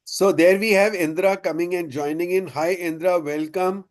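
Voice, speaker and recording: A man with a voice pitched a little higher than most moderates an online session over a video call. He keeps things short, greeting people and handing them questions. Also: fairly even intonation, moderate pace. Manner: brief welcoming prompts.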